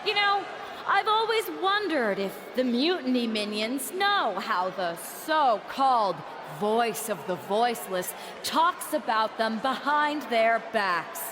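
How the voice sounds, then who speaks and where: In noisy arena sound, a taunting female wrestler cuts a promo in a video game. Her high voice moves slowly and deliberately, confident and pointed. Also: big pitch swings. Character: taunting, self-assured female wrestler on the mic.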